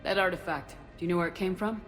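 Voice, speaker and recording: A woman acting a commanding female military officer in a sci-fi video game. Her voice is low, her manner firm, brisk and determined, and music runs clearly underneath. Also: fairly even intonation, lightly acted. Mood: firm, questioning.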